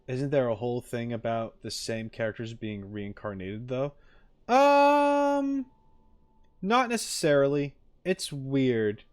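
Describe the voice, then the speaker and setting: A man's voice: mid-range voice, expressive, moderate pace, few pauses, no room sound. A man chatting casually on a livestream as he reacts to game clips, opinionated and jokey, speaking into a close microphone.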